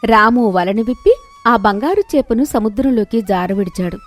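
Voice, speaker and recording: A woman's voice: a little high, lively intonation, moderate pace, soft background music. A woman narrating a scripted moral story in a dramatic storytelling style.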